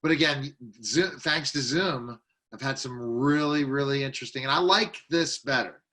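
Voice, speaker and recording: A man's voice: mid-range voice, moderate pace, bright, forceful tone. A man hosting a casual interview chat over a video call. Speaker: interviewer, show host.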